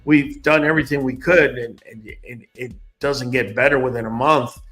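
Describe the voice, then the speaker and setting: A man's voice: medium pitch, steady tone, moderate pace, fairly bright tone, soft background music. A man hosting a casual livestream, talking straight to his viewers in a chatty way and often repeating words. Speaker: livestream host.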